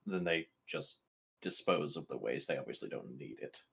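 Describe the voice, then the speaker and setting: Low voiced guy just chatting away during a tabletop game. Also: expressive, moderate pace, vocal fry, fairly bright tone, slightly rough. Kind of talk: casual chat.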